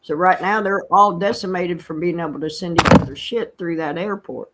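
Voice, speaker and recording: A woman's voice: on the low side, moderate pace, very noisy. A woman from Florida with a Southern accent, chatting bluntly and laughing often. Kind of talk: casual chat.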